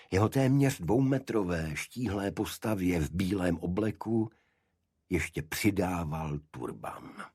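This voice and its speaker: A man reading a detective story as an audiobook narrator, in a scripted literary style with a husky voice. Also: deep voice, darkish tone, no echo, studio quality.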